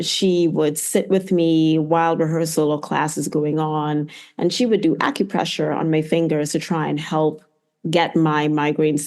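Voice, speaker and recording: A middle-aged woman from Trinidad and Tobago speaks as an interview guest. Her voice is low for a woman. She tells her story in a reflective, conversational style. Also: darkish tone. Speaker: podcast guest, physical therapist.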